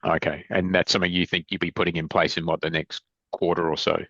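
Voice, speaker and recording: A man with a deep voice questions management as an analyst on an investor call. He is conversational and hesitant, restarting and rephrasing as he goes. The audio is dry and somewhat dull. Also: level tone, fast, a bit bright, husky, no echo.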